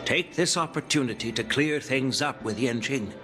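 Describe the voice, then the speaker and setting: Courteous mature general, acted by a man, formal and measured. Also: medium pitch, over loud music, close to an everyday voice. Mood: courteous, reflective. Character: courteous, self-effacing mature male general. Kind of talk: video game voice acting.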